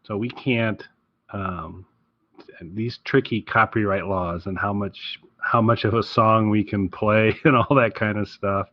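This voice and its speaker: Slightly husky, low-pitched man bantering. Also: fairly even intonation, measured pace, no room sound. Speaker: podcast co-host. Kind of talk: casual podcast chat, wry banter. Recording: slightly dull, lo-fi close recording.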